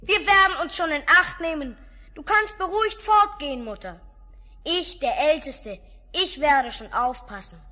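The eldest little billy goat in a fairy-tale record. The voice is very high and bright, earnest and firm, like a watchful big brother. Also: female voice, animated, a little slow, exaggerated voice. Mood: dutiful, assuring. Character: eldest little goat kid, male, cautious, protective. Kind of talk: German fairy-tale audio play acting.

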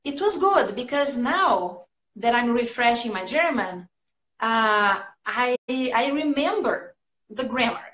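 A woman in her thirties from Brazil, a native Portuguese speaker with a Brazilian accent, chatting casually as an English teacher on a livestream, upbeat and quick to laugh. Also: on the high side, lively intonation, fairly bright tone. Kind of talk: casual livestream chat answering viewers' comments. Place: small room at home, indoors. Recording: echoey small room.

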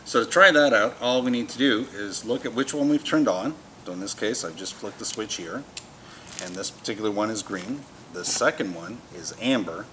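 A man's voice: fairly low pitch, normal speed, sharp tone. A man in his fifties giving a casual, folksy, plain-spoken product walkthrough as a shop demonstrator, in an indoor showroom. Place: store showroom with shelves, indoors. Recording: noisy showroom background hum.

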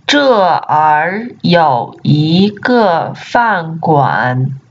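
Very slow woman drilling vocabulary. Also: low voice, sing-song, husky. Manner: slow, deliberate repetition of words for learners. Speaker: language teacher. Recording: dull lo-fi sound.

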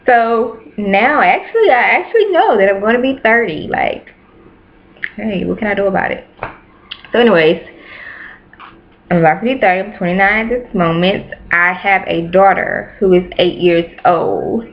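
A woman's voice: mid-range voice, normal speed, slight background noise. Chatty young woman on a webcam. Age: 20s. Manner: chatty, repeats herself for emphasis. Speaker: YouTuber. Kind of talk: casual chat to camera. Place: small bedroom indoors. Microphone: webcam at close range. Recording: dull lo-fi webcam audio.

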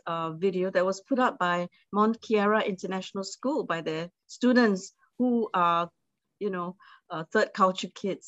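A woman's voice: medium pitch, dry recording, highs cut off. Woman hosting an online forum, hesitant. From Singapore. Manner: hesitant, many fillers and restarts. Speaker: forum host and moderator. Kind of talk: online webinar introduction. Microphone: video call.

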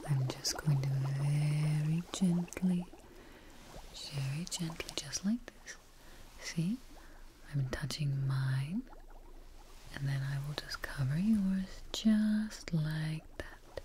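A young woman whispering gently and soothingly in a breathy ASMR roleplay as a caring scientist, repeating short reassurances. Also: low voice, a little hiss or hum. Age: young adult. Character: gentle scientist tending their frightened creation. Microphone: close-up microphone.

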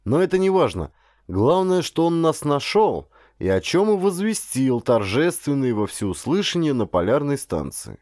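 A man narrating a literary audiobook in an expressive, dramatic storytelling manner. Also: a little high, moderate pace, no room sound, studio quality.

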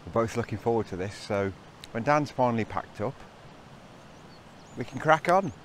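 A man's voice: mid-range voice, animated, normal speed, slight background noise. A middle-aged man vlogging on a hillside hike, talking casually to a handheld camera with an upbeat, chatty manner. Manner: chatty, upbeat, laughs between remarks. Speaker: hiking vlogger. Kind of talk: casual vlog narration. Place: outdoors on open fell hillside. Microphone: handheld camera at arm's length.

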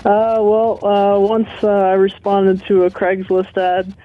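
A man in his twenties calling into a radio show, soft-spoken and laid-back. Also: sits high, monotone, takes it slowly, faint music behind. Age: 20s. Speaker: caller. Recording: dull phone-in line.

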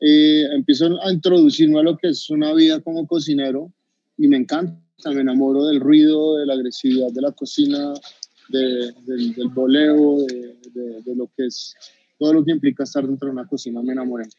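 A man's voice: mid-range voice, level tone, fairly quick, mellow, slightly rough, no echo. A man in his thirties with a Bogotá Colombian accent, a chef telling his story on a video call, hesitant with many fillers and restarts. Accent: Bogotá Colombian Spanish. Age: 30s. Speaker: chef guest. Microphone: video call webcam. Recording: dull dry video call audio.

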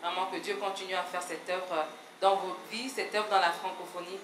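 A woman's voice: on the low side, fairly even intonation, normal speed. A woman, the pastor's wife, giving an earnest, prayerful greeting to the congregation on a handheld mic. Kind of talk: church greeting. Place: large church hall, indoors. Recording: echoey church hall, noisy.

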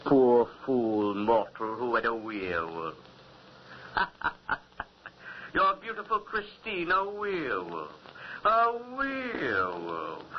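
An actor playing a mocking, vengeful mountain spirit in a solemn, taunting 1940s radio style. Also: male voice, mid-range voice, wildly swooping pitch, slow. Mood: gloating mockery. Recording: dull, noisy 1940s radio recording.

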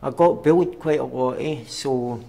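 A young man with a Glaswegian Scottish accent, giving personal testimony for a documentary in a halting, plain way with pauses and fillers. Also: mid-range voice, creaky, mellow. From Scotland. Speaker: documentary interviewee. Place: outdoors on a city street at night.

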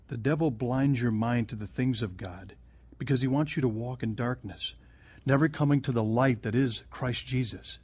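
Guy acting kindly pastor in radio drama, husky medium voice, preachy counsel, very dry sound. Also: medium pitch, a little mellow, dry recording, close to an everyday voice. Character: kindly, earnest male pastor counseling and quoting scripture.

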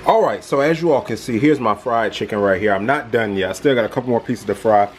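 A man's voice: on the low side, fast talker, soft background music. A young man casually walking viewers through each step of his cooking in a home kitchen, filmed on a handheld camera. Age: young adult. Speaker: cooking vlogger. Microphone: handheld camera, close and at arm's length. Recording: home kitchen with frying and stirring noise. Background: food sizzling in a pan.

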